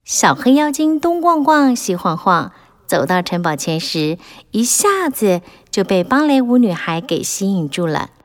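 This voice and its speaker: Expressive woman reading a children's story. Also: mid-range voice, unhurried. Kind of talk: scripted children's audiobook narration.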